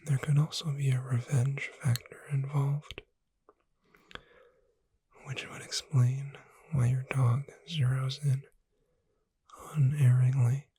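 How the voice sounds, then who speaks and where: Woman doing asmr reading, super breathy whisper, dark soft tone, really slow and soothing. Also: very deep voice, little pitch movement, no room sound. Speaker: ASMR performer.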